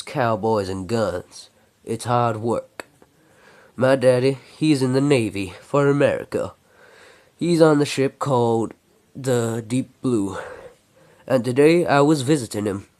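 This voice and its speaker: Young Texan farmhand played by a man, folksy, reflective storybook narrator, dark relaxed tone, deliberate delivery. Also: mid-range voice, close to an everyday voice. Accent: attempted Texan. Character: young Texan farm boy recounting his life story. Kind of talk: first-person story narration.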